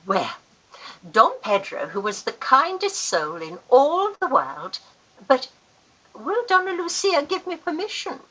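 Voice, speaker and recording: A woman's voice: high-pitched, wildly swooping pitch, unhurried, sharp tone, highs cut off, exaggerated voice. A woman acting a grand, gushing, easily affronted society aunt in a Victorian radio farce, theatrical and emphatic. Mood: gushing storyteller.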